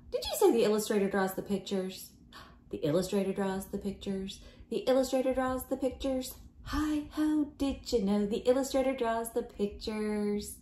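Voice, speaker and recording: A woman in her forties reading aloud to young children in an animated, questioning, teacherly way. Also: medium pitch, reverberant. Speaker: children's storybook reader. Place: small home room, indoors.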